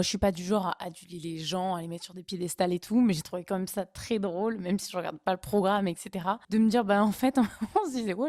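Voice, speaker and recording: Chatty young French woman, dark mellow voice. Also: medium pitch, no echo, clean studio sound. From northern France. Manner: chatty, laughing, animated asides. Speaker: vlogger. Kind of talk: casual storytelling.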